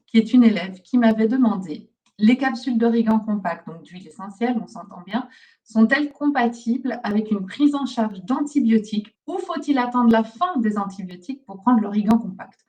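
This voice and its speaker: A woman's voice: medium pitch, dark tone, recorded from a distance. A woman from Belgium giving an informal live question-and-answer lesson as a host and trainer, chatty and encouraging as she explains. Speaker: host, pharmacist and aromatherapy trainer.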